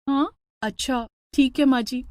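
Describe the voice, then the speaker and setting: A woman's voice: high voice, fairly even intonation, a little slow, no room sound, voice pushed up. A woman voicing a young daughter-in-law in a story, polite, plaintive and bewildered. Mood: surprised, polite.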